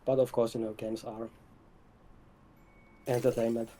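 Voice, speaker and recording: A man's voice: mid-range voice, level tone, measured pace, mellow. A man talking as a game developer guest in a casual livestream interview, in hesitant, broken phrases.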